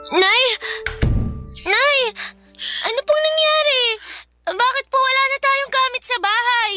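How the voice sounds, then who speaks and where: A woman's voice: very high voice, a little slow, pushed and bright, full character voice. A woman playing an anxious, sweet young schoolgirl daughter in a radio drama, pleading in a tearful, childlike way. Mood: alarmed, questioning. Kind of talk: Tagalog radio drama acting. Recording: dry studio with music behind.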